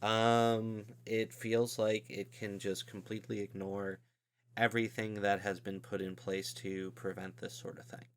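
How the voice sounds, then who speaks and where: A man's voice: lowish voice, level tone, unhurried. A man hosting a podcast in casual discussion, talking loosely with frequent "like" and "um". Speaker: podcast host.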